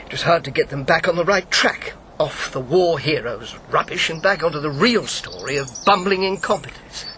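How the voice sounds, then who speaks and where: An actor playing a sardonic, blunt older former wartime officer in a radio drama, dry and articulate. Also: male voice, on the high side, expressive, moderate pace, bright, forceful tone, slight background noise. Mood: scornful. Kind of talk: naturalistic radio drama acting.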